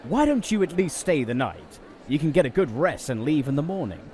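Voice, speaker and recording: A man's voice: on the high side, very animated, fairly quick, warm tone. A man playing a kindly, supportive older settlement leader in an audio drama. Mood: kind, reflective.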